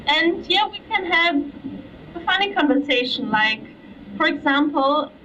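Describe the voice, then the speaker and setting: A young woman giving a slow, explanatory live product demo at a trade fair booth, often checking in with "okay?". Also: high voice, animated, pushed and bright. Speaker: product demonstrator. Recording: dull distant audio with trade fair noise.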